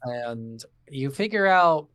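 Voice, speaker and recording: A man's voice: mid-range voice, lively intonation, slow, a bit bright, no room sound, studio quality. A man co-hosting a video game podcast, chatting casually with heavy swearing and incredulous rants, acting out lines he quotes. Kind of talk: casual, profane game review chat.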